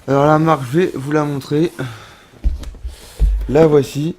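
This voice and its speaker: A man's voice: fairly high pitch, little pitch movement, unhurried, darkish tone, a little hiss or hum. A man of thirty chatting casually through an unboxing vlog in his home studio, full of fillers and restarts. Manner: chatty, many fillers and restarts, addresses viewers as little rabbits. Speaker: roller skating vlogger.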